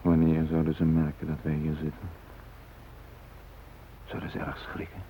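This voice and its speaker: A man's voice: extremely low pitch, monotone, normal speed, phone-quality sound. An actor in a radio drama playing an anxious but resourceful hostage, muttering his thoughts in fragments. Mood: worried, muttering. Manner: muttering, fragmented, self-steadying. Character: anxious, resourceful male hostage thinking aloud. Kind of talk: radio drama inner monologue. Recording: faintly noisy old radio recording.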